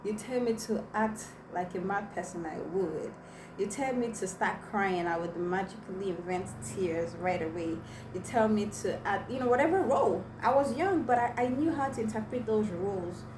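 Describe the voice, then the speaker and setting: A woman's voice: mid-range voice, lively intonation, normal speed. A young woman whose first language is Igbo, chatting to viewers as she tells a personal story with many fillers. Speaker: vlogger. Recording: echoey room, mic at a distance, noisy.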